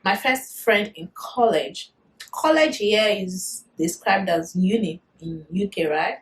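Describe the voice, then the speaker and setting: A woman in her thirties with a Nigerian accent, chatting to camera in a casual vlog, animated and quick to laugh. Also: mid-range voice. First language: Yoruba. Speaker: vlogger.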